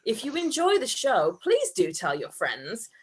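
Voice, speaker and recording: Lady doing a bossy robber bloke from a comedy story, theatrical n sarky, highish voice. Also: huge pitch swings, measured pace, slight background noise. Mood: showman. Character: bossy, exasperated male amateur-performer bank robber.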